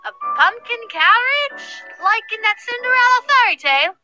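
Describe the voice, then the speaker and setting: A young, gossipy maid in a visual novel. Her voice is extremely high and piercingly bright, swinging up and down as she exclaims in a folksy way. Light music plays underneath. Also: very animated, moderate pace, heavily acted. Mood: curious. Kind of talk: visual novel character acting.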